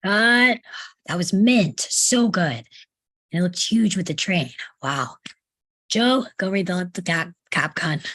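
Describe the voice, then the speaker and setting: A woman playing a bossy, excitable boy who directs amateur films, in a husky voice at a screenplay table read. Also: on the low side, slow, exaggerated voice. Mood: excited, shouting.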